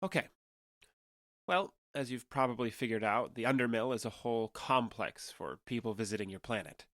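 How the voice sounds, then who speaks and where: A man's voice: mid-range voice, expressive, normal speed, a bit bright, no room sound, studio quality, natural voice. A man playing a young alien trader who passes as human in an audio drama, talking in a conversational, earnest and slightly hesitant way. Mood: earnest, explaining. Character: awkward, candid young male alien trader passing as human.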